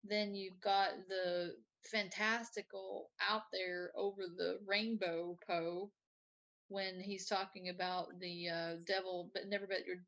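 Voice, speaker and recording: A woman's voice: medium pitch, little pitch movement, measured pace, fairly bright tone, muffled recording. A woman hosting a live show in a casual, rambling conversational way, chatting and reading out comments.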